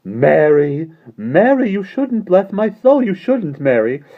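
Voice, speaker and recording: A man voicing a jolly, warm-hearted old gentleman who exclaims breathlessly with mirth. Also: high voice, very animated, slow pace, pitched above the performer's own voice, exaggerated voice. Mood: laughing. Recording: dull lo-fi recording.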